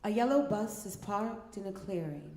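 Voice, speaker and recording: A woman reading a scripted story in a measured, deliberate way, pausing between short phrases. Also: mid-range voice, expressive, a little mellow, slight background noise. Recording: echoey room, faint music behind.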